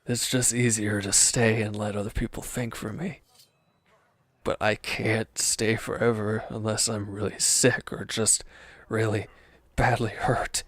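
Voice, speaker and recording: A man playing a wounded, defeated villain's henchman in an intimate audio roleplay, bitter, defensive and despondent, halting and sometimes dropping to a near-whisper. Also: on the low side, little pitch movement, fairly bright tone, dry recording. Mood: despondent, weary.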